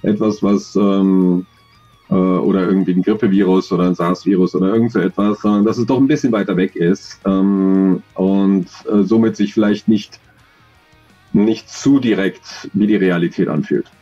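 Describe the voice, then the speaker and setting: A man in his forties, an audio drama author, talking thoughtfully in an interview over a video call, with many pauses and fillers. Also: sits low, steady tone, dark tone, muffled recording, faint music behind. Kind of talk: relaxed conversational interview.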